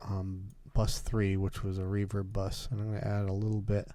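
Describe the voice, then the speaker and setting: Low, mellow man giving a tutorial. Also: monotone, moderate pace. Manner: methodical, step-by-step explaining. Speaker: music production instructor. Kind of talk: software tutorial, talking through steps.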